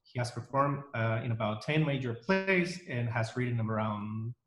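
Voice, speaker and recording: A man's voice: medium pitch, moderate pace, fairly bright tone. Guy hosting a video call interview, lots of ums, echoey room sound. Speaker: interview host. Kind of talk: casual interview.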